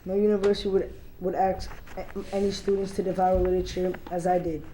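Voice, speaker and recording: A young teenage girl gives a student reading aloud. Her tone is dark and mellow, with little rise or fall. Faint noise sits behind the voice. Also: lowish voice, flat delivery, quick pace, poor audio. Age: young teen, middle school student. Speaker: student reader.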